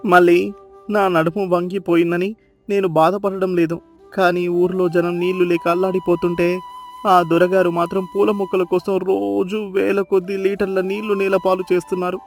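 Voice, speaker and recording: A man's voice: sits high, little pitch movement, moderate pace, faint music behind. A man voicing a humble, anxious poor villager, deferential and worried, in a moral-story cartoon. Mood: sorrowful, fearful.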